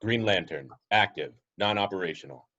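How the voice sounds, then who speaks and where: A man's voice: sits low, expressive, moderate pace, bright, forceful tone. A man playing an impassive surveillance supercomputer in a superhero script, with clipped, formal, emotionless delivery. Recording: dull lo-fi home recording.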